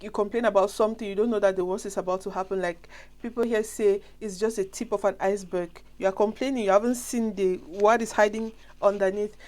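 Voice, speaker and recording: A woman's voice: mid-range voice, darkish tone. A young woman with a Cameroonian accent who has a flu, chatting casually and ramblingly as she addresses her viewers directly. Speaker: vlogger.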